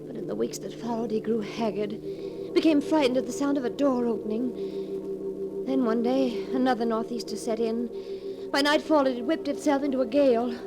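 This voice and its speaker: A woman's voice: on the high side, fairly quick, over loud music, close to an everyday voice. A young woman playing an anxious, earnest niece who is the heroine and narrator of an old radio horror drama. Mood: grave, foreboding. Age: young adult. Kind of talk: 1940s radio horror acting. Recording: noisy old radio recording.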